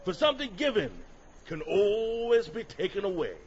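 A man acts out a fervent escaped slave making a radio drama speech. The voice sits fairly high and rises and falls dramatically, each phrase weighed and emphatic. The recording is slightly muffled, with faint noise. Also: very animated. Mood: fervent, solemn. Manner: oratorical, deliberate, emphatic. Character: fervent escaped slave orator rousing a crowd. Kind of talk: audio drama acting.

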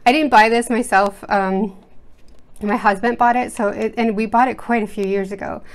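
A woman's voice: on the high side, steady tone, normal speed. American woman chatting away at her art desk, lots of ums, rambly and easygoing. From United States. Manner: chatty, rambling, lots of fillers and self-corrections. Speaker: art channel host. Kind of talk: casual rambling art chat. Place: indoors at a home desk. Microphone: overhead camera setup, speaker off-screen.

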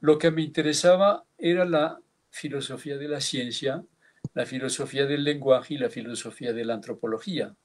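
A man in his seventies from France, giving a measured, reflective tribute speech over a video call, with small hesitations. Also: mid-range voice, fairly even intonation. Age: 70s. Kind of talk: formal reminiscence, partly read.

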